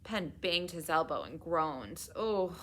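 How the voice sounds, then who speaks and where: A woman reading a children's storybook aloud in an expressive, measured way. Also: deep voice. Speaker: children's read-aloud reader at a public library.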